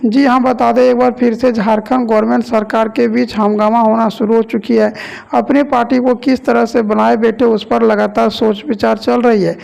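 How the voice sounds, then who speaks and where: A man's voice: very high-pitched, flat delivery, moderate pace, vocal fry, darkish tone, rough recording. A man with a Jharkhand Hindi accent presenting an online news voice-over in a repetitive, formulaic way. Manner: repetitive, formulaic delivery with stock phrases.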